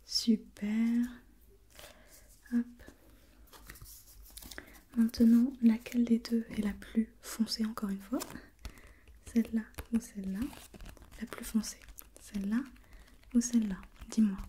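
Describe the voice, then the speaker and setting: A woman whispering breathily in an ASMR role play, gently putting questions step by step as an examiner and softly repeating herself. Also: on the high side, flat delivery, slow pace, pauses often, a little hiss or hum. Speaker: examiner in a role-played visual test.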